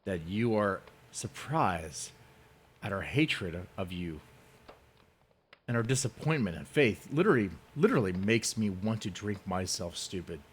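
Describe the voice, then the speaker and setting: Low-voiced man in a theatrical, scornful reading. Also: big pitch swings, breathy edge. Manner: theatrical, scornful, deliberate with drawn-out emphasis. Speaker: reader of an online post. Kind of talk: dramatic reading.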